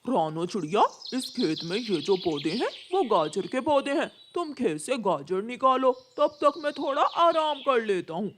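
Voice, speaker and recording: A lazy, crafty male cartoon crow acted out by a woman, fairly high and swinging widely in pitch. He wheedles and orders others about while sounding falsely sincere. There is faint noise in the recording. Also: sing-song, a little slow, few pauses. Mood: coaxing. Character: sly, lazy male crow who cheats a friend. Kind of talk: Hindi cartoon story character voice.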